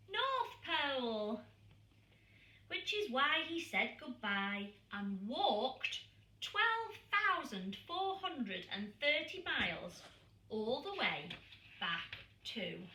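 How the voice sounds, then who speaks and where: A woman primary school teacher reading a picture book aloud to young children, expressive and asking them questions. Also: fairly high pitch. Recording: echoey room, distant mic.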